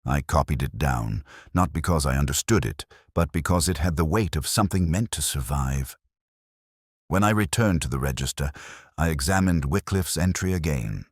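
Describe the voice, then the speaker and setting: Very deep-voiced man narrating a detective story. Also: expressive, slightly rough, dry recording, studio quality. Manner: measured, solemn, reflective. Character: melancholy, erudite male English police inspector narrating his case. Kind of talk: scripted literary detective narration.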